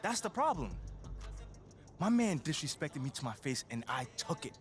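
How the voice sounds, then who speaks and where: An actor playing a proud young basketball star who talks emphatically and uses slang. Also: male voice, fairly high pitch, big pitch swings, moderate pace, faint music behind. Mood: defiant.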